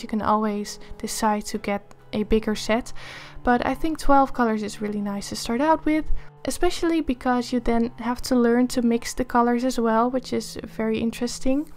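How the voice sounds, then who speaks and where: A young woman with a Dutch accent, hoarse from a cold, chatting upbeat over a drawing tutorial video. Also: a little high, a little mellow. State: hoarse, losing her voice from a cold. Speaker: art tutorial creator. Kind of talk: casual voice-over for a drawing time lapse.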